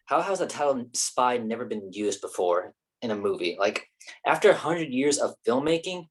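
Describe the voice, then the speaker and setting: A man playing a blunt, nitpicking questioner with a husky voice in a naturalistic staged play reading. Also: medium pitch, big pitch swings, moderate pace.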